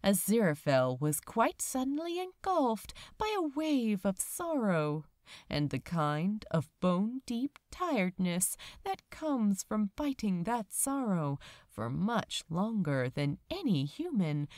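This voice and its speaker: Woman, story narrator, measured pace, lively pitch movement, dry recording. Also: no room sound. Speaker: fan fiction narrator. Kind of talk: scripted audiobook-style narration.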